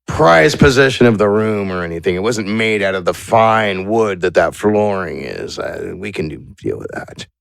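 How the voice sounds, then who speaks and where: A man's voice: lowish voice, sing-song, moderate pace, gravelly, few pauses, clear, resonant tone. A man game mastering a casual, improvised tabletop roleplay in an incredulous, teasing, sweary way.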